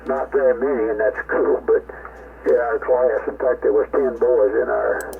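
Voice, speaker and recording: A man's voice: mid-range voice, steady tone, normal speed, gravelly. An older man, reminiscing in a folksy, rambling way over a ham radio link. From rural United States. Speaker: amateur radio operator. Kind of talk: casual reminiscing chat. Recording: tinny, noisy ham radio transmission.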